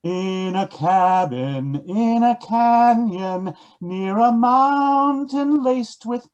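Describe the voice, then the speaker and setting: A woman's voice: mid-range voice, darkish tone. A woman performer reciting rhyming verse in readers theatre. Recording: dull lo-fi recording.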